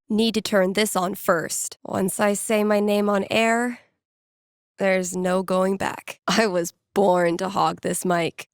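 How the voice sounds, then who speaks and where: A woman's voice: mid-range voice, dry recording, studio quality. A woman voicing a wry, casual young American record store DJ in a video game, muttering to herself with self-amusement. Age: young adult. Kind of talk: naturalistic video game voice acting.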